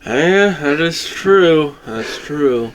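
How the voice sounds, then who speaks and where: Animated man in casual discussion. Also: fairly high pitch, very slow, slight background noise, low-quality recording. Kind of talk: casual chat.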